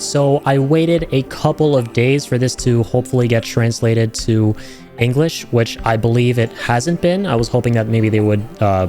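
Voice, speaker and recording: A man hosting reaction videos, speaking in an excited, chatty, rambling way to his viewers. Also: medium pitch. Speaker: streamer and video host reacting to game trailers. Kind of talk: excited casual commentary to viewers.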